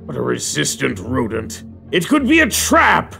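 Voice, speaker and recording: A man's voice: medium pitch, huge pitch swings, unhurried, gravelly, bright, forceful tone, faint music behind, full character voice. A man voicing a pompous, irritable middle-aged mad-scientist villain, scornful and theatrical, in a cartoon comic dub. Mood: suspicious. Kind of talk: fan comic dub acting.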